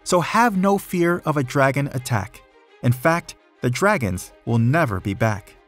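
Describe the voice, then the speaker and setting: A man's voice: medium pitch, lively intonation, unhurried, fairly bright tone, soft background music. A man voicing a brave young boy prince in a storybook, proclaiming emphatically to reassure his people. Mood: reassuring, proclaiming.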